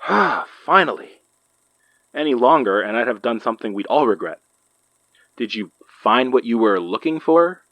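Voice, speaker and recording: An actor playing an impatient, sceptical friend on lookout in an audio horror drama, gruff and grumbling. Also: medium pitch, animated, normal speed, a bit bright, no room sound. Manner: grumbling, blunt. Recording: slightly muffled, dry.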